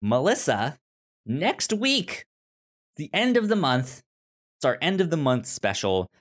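A man co-hosting a casual discussion podcast, speaking informally and haltingly, with stuttered, repeated syllables and words. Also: fairly high pitch, very animated. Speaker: podcast co-host. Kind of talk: casual book and film discussion.

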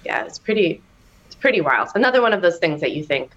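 Low-pitched woman guest, candid and animated storyteller. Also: quick pace, highs cut off. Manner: animated storytelling, laughing, bursts of high excitement. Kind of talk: candid personal testimony in a podcast interview.

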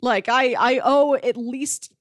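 A woman co-hosting a podcast, chatting casually about film in an animated way and playfully acting out lines. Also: high-pitched, slow, no echo, studio quality. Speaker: podcast co-host.

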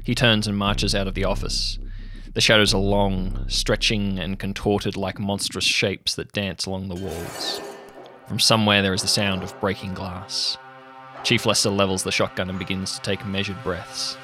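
A man narrating a tabletop role-playing story as game master, measured and atmospheric, with music underneath. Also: low-pitched, flat delivery.

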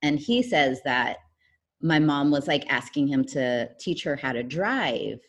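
Low-voiced woman, chatty and filler-heavy. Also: expressive, a little slow. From United States. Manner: rambling, many fillers like "um" and "like". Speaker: guest. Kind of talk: casual interview conversation.

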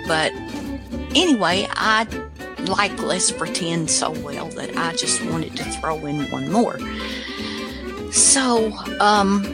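A woman's voice: lowish voice, lively intonation, slow, long pauses, over loud music. A woman hosting a radio show in a casual, hesitant, unscripted way. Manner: hesitant, informal.

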